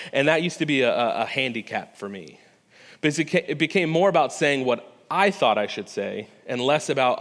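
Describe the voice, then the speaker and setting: Earnest preacher man in a reverberant hall. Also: medium pitch. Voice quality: loud voice. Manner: earnest, conversational preaching with frequent restarts and rhetorical "right?". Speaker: pastor. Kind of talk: sermon. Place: church sanctuary.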